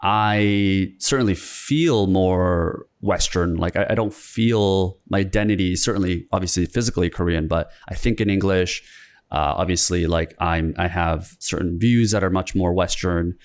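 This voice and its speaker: A man hosting a casual interview, asking questions in a relaxed, conversational way. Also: low voice, moderate pace. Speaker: podcast host.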